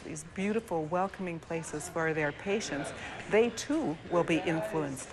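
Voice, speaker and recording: Low-voiced woman, halting and earnest. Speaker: physician, clinical director, interview guest. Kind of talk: live news interview.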